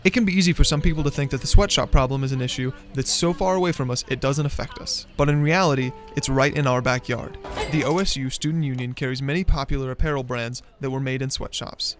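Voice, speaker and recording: Guy reading a news piece fast, mid pitch, faint music behind. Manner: brisk, even news-reading delivery. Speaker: student news reporter. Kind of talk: scripted news narration.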